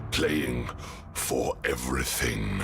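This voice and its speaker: An actor playing an ancient, menacing otherworldly villain in an audio drama, speaking in slow, portentous, halting phrases. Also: male voice, rumbling low, creaky, exaggerated voice. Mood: grave, menacing. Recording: dramatic music behind the voice.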